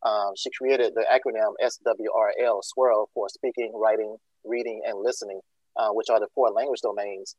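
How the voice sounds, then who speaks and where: A man's voice: on the low side, steady tone, moderate pace, darkish tone, dry recording, rough recording. A man from Georgia, a school district leader answering interview questions with many ums and restarts. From Georgia, USA. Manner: many ums and uhs, restarts, earnest explaining.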